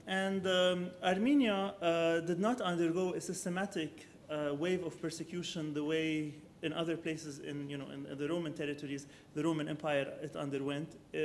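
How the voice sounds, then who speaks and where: A man, a professor of architecture, giving an academic lecture with slides, speaking in a measured way that thinks aloud and often corrects himself. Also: a little high, a little hiss or hum.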